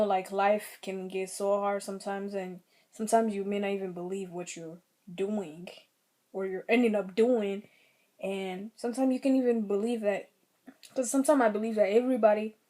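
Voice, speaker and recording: A young woman with a slightly raspy throat, giving earnest, rambling commentary on her own vlog channel. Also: mid-range voice, dark tone. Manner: earnest, rambling, many fillers. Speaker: vlogger.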